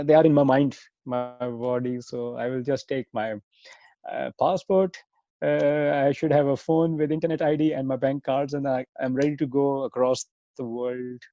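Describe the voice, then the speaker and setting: A man in his thirties with a Pakistani accent, a podcast guest answering in a halting, self-correcting way over a remote call. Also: mid-range voice, warm tone, no room sound. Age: 30s. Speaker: podcast guest, software engineer. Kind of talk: conversational interview answers. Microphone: remote internet or phone call. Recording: dull lo-fi call audio.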